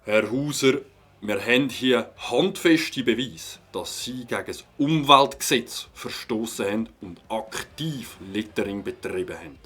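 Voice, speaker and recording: An actor playing a firm, methodical police captain in a children's audio drama, speaking formally with a Swiss German accent. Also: male voice, medium pitch, lively intonation, unhurried, fairly bright tone, husky, soft background music. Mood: stern. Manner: formal, authoritative.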